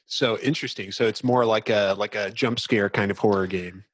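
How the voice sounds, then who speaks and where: Man, fairly low voice, dry recording, podcast co-host, casual game chat, tongue-in-cheek reactions. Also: steady tone, moderate pace, no room sound.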